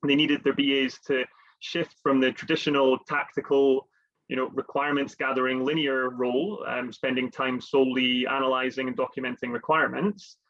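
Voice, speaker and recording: Guy presenting a work webinar, mid pitch, lots of uh and um, kinda muffled sound. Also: level tone, normal speed, a bit bright. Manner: conversational, with frequent fillers and repeated words. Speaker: webinar host, consultant.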